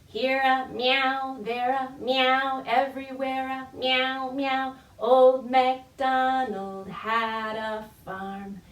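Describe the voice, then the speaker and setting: High-voiced woman leading storytime in an echoey room. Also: level tone, very slow, hardly any pauses. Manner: playful, encouraging, simple child-directed phrasing. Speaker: children's librarian leading baby storytime. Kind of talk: sing-song storytime with rhymes, songs and tips to parents. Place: indoor room, recorded virtual session. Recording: echoey room, distant microphone.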